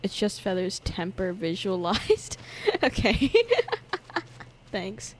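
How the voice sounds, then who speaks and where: A young woman gaming streamer giving casual commentary from home, giggling, swearing a lot and breaking into loud startled outbursts. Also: mid-range voice, animated, drawn-out words, slight background noise. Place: home room. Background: game music.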